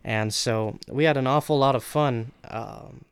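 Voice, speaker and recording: A man's voice: medium pitch, unhurried, clean tone, dry recording, studio quality. A young man, a guest writer, recounting his work in a casual, informal way.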